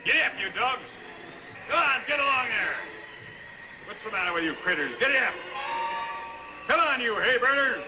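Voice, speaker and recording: An actor playing a blustering, folksy sled driver yelling at his dog team in a 1950s TV adventure. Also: extremely high pitch, lively intonation, normal speed, pauses often, lo-fi sound, heavy background noise. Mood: blustering, urging. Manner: shouting, exclamatory, folksy. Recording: vintage TV soundtrack, loud music behind.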